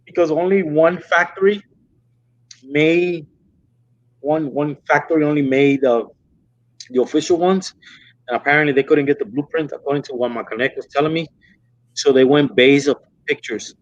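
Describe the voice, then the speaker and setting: A man casually explaining sneakers in quick, rambling stretches. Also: fairly high pitch, muffled recording. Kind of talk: casual chat.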